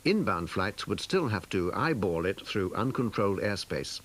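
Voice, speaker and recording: A man's voice: on the low side, sing-song, moderate pace, a bit bright. A man reading scripted narration as the narrator of a documentary. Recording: noisy dated TV documentary soundtrack.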